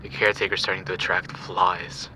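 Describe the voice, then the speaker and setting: A man's voice: low voice, little pitch movement, brisk, noisy background, lightly acted. A man playing a sadistic, coldly amused killer in an audio drama, taunting and menacing in a matter-of-fact way. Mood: smug threatening.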